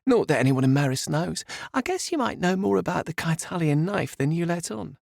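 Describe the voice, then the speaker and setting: A man's voice: fairly high pitch, animated, fairly quick, gravelly, a little mellow, no echo, studio quality, voice pushed up. A man voicing a scheming young woman who talks down to others in a cold, condescending way. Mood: mocking. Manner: condescending, sing-song.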